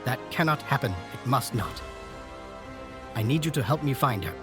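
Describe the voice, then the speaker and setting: An actor playing an earnest, dutiful station master in a fantasy game, speaking in a formal, courteous and grave way. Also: male voice, medium pitch, animated, moderate pace, a bit bright, faint music behind, lightly acted. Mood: grave, urgent. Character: earnest, dutiful male station master guarding a noble secret. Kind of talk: scripted video game cutscene acting.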